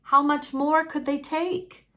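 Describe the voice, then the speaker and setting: Bright, high-voiced woman reading a storybook slowly. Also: steady tone. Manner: folksy, dramatic, deliberate delivery. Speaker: read-aloud storyteller. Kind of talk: expressive children's picture book reading.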